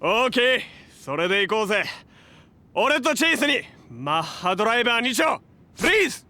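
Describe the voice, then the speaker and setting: A man's voice: very high voice, sing-song, a little fast, bright, forceful tone, heavily acted. A man playing a brash, hot-blooded young superhero in a Japanese audio drama, with cocky, slangy, exclamatory delivery. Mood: defiant, confident. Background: prominent music.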